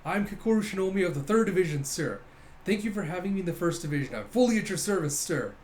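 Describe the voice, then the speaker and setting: A man's voice: sits high, expressive, fast talker, darkish tone, slight background noise, pitched above the performer's own voice. A man voicing a dutiful young female soldier, formal and privately unimpressed, with clipped military address.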